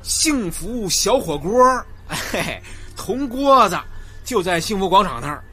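A man's voice: high voice, sing-song, unhurried, sharp tone, soft background music, exaggerated voice. An actor playing a boastful, wheedling male security guard in a Chinese radio drama, slangy and eager, with a Beijing accent. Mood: chummy.

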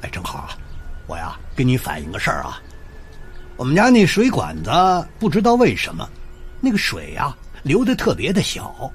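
A man's voice: mid-range voice, wildly swooping pitch, normal speed, soft background music. An actor playing a chatty retired courthouse doorman in a Chinese radio drama, an older man with a Beijing accent and a folksy, theatrical storytelling manner. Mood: neighborly, curious. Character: chatty retired courthouse doorman, eager neighborhood law volunteer. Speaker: storytelling host and lead character of a radio drama.

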